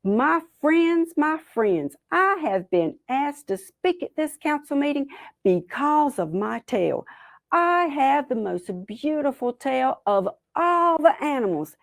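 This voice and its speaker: A woman voicing a vain, boastful and excitable male possum in an animal folktale. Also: high voice, slow, creaky, dry recording, clean studio sound, voice pushed up, heavily acted. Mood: grand, boastful. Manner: bragging, self-admiring.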